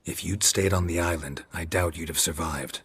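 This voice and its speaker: Gruff stoic monster hunter guy, super deep raspy voice, short clipped lines, fantasy game. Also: steady tone, normal speed, fairly bright tone, heavily acted. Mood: measured, solemn. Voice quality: gravelly, husky. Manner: terse, clipped, matter-of-fact. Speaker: player character in a fantasy video game. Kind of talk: video game voice acting.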